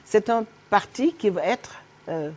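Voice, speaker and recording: A middle-aged woman from Senegal, a politician answering questions as a guest in a TV studio interview, animated and emphatic, with frequent hesitations. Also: medium pitch, a little slow. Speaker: guest politician, party honorary president.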